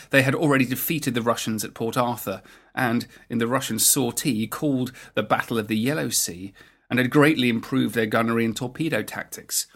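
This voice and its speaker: A man reading scripted historical documentary narration in a measured, factual way. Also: mid-range voice, a bit bright. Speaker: narrator of a naval history programme.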